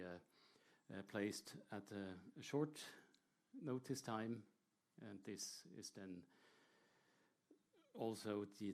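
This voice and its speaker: A man presenting a technical talk to an audience in a measured, explanatory way, with short hesitant pauses. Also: fairly low pitch, darkish tone. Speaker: air traffic control presenter.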